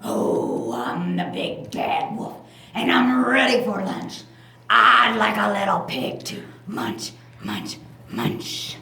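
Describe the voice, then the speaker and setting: Woman putting on a gravelly big bad wolf, echoey. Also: medium pitch, big pitch swings, sharp tone, faint music behind, exaggerated voice. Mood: hungry, chanting. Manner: chanting, drawn-out theatrical threats. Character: big bad wolf, hungry, gloating, menacing villain. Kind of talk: children's puppet show acting. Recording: echoey room, far from mic, faint noise.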